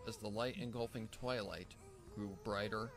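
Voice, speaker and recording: A man narrating a dramatic scripted fan fiction story in a measured, theatrical way. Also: lowish voice, fairly even intonation. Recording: music bed behind the voice. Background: background music.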